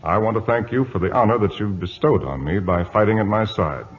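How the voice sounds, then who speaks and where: A man's voice: lowish voice, brisk, very noisy, lightly acted. A man acting a resolute, composed British naval captain in a radio drama, formal and authoritative. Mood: grave, composed. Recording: dull lo-fi old radio recording.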